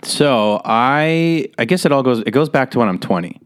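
A man's voice: mid-range voice, animated, normal speed, clear, resonant tone. A man in his thirties from Las Vegas, telling his story as a comedian guest in a casual interview, rambling, laughing and swearing as he goes. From Las Vegas, USA.

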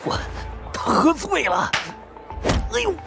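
Male actor as whiny cowardly thug, high creaky voice, wildly swooping pitch, music behind, audio drama. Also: huge pitch swings, slow, exaggerated voice. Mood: flustered, evasive. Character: cowardly sleazy lowlife thug, cringing and whiny. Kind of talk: Chinese audio drama acting.

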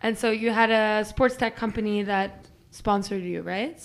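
A woman's voice: medium pitch, unhurried. Female interviewer from Belgium, medium voice, inquisitive. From Belgium, grew up partly in Germany. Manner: curious, short follow-up questions. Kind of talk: conversational interview.

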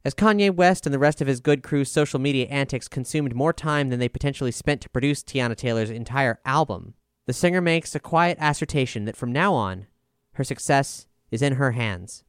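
A man with a smooth voice narrating a scripted music review as a voice-over. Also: medium pitch, moderate pace, dry recording, studio quality.

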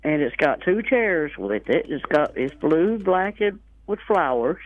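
A woman's voice: sits low, sing-song, measured pace. A woman phoning a radio show and plainly listing items for sale. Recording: dry, narrow telephone line on radio.

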